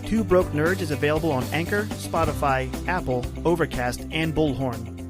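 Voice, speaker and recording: A man's voice: a little high, normal speed. A man announcing a podcast promo in a scripted read. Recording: promo with loud music bed.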